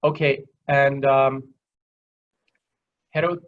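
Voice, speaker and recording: A dull, lo-fi online recording of a man with an Austrian accent. He lectures in a conversational, explanatory way at a mid pitch, halting often as he works through simple examples. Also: little pitch movement. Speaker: guest lecturer. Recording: dull, lo-fi online call audio.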